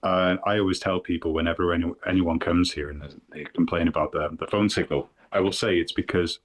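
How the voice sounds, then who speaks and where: North Welsh man, podcast guest, low deep voice, unhurried and hedging. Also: steady tone. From Wales. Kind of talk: casual podcast chat.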